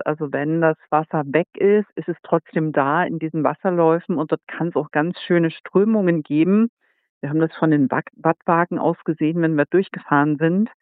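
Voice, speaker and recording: Man on a tinny line, slightly high voice. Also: fairly even intonation, moderate pace, dry recording. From Saxony, Germany. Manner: thoughtful, explains at length. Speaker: author. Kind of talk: interview guest.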